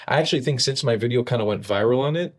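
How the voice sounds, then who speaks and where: A man's voice: mid-range voice, very fast. A man, a podcast guest, explaining with emphasis in conversation, often repeating words as he starts a sentence.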